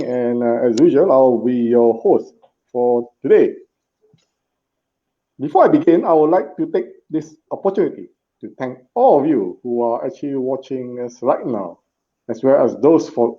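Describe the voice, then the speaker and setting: Man, live-stream interview host, earnest, slow with uh fillers and restarts, muffled lo-fi audio. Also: mid-range voice.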